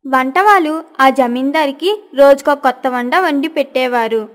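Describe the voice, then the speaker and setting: A woman with a high voice narrates a children's story. She reads from a script, as a storyteller presenting a moral tale. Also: moderate pace.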